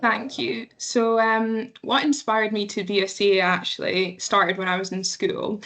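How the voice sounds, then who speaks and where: Woman panelist on a call, level and matter-of-fact, little pitch movement. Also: flat delivery, a little slow, fairly bright tone, a little hiss or hum, low-quality recording. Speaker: panelist, assistant manager. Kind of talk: panel introduction and answers. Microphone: video call.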